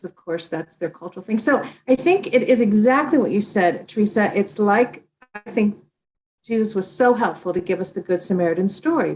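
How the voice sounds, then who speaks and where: A woman's voice: medium pitch, darkish tone, a little hiss or hum, low-quality recording. A middle-aged woman, an author being interviewed, talking earnestly in a conversational way with frequent restarts.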